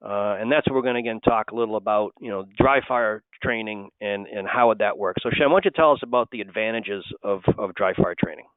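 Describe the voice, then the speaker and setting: Guy running a business webinar, chatty, lots of uhs, smooth voice, dry tinny call audio. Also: on the low side, fast talker, hardly any pauses, no echo. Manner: loose and conversational, many "uh" and restarts. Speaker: host, wholesale company president. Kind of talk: casual webinar presentation. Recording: tinny phone-like webinar line.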